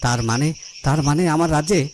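A man's voice: fairly high pitch, fast talker, slight background noise, close to an everyday voice. A man playing an earnest fairy-tale king in a cartoon, dramatic, repeating phrases for emphasis. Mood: troubled, puzzled. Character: earnest fairy-tale king, troubled by crime in his realm.

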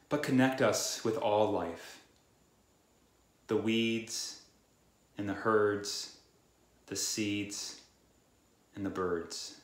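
Slow, low-voiced man praying in an echoey room. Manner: measured, reverent, phrase-by-phrase delivery. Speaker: worship prayer leader. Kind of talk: reading a scripted, poetic prayer. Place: small room at home. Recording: roomy indoor echo.

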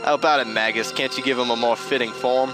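A man's voice: mid-range voice, fairly even intonation, fast, over music, natural voice. Man doing a mocking fiend general.

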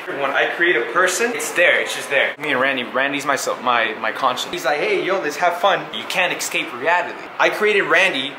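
A man's voice: a little high, lively intonation, brisk, few pauses. A young man casually questioning and explaining to a friend, earnest and insistent. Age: young adult. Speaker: friend of the vlogger. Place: school hallway. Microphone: handheld camera. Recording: echoey hallway, noisy background.

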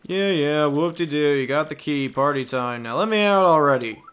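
A man's voice: a little high, moderate pace, fairly bright tone, clear, resonant tone, natural voice. A man voicing an impatient, grumpy creature trapped in a chest in a video game, sarcastic and exasperated. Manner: exasperated, sardonic.

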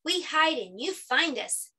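A woman voicing excited, playful young children in a storybook, exclamatory and singsong. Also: high voice, measured pace, voice pushed up, exaggerated voice.

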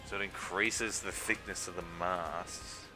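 Deep-voiced man streaming a game. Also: steady tone, measured pace. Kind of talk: casual gaming chat. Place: indoors, voice over gameplay. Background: music.

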